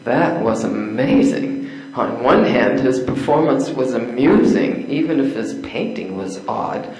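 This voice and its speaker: An older woman playing a bookish, sharp-tongued young lady in amateur readers theater, with a dry, deliberate delivery. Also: very deep voice, animated, soft background music. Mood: drily mocking. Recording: echoey room recording.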